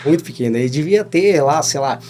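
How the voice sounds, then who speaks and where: A Brazilian man in his thirties tells stories as a podcast guest, rambling and tagging his sentences with little questions. His voice sits a little high for a man. A faint hum runs behind him. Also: lively intonation, brisk, darkish tone. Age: 30s. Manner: rambling, frequent "né?" tags, laughs while telling stories. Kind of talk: casual storytelling interview.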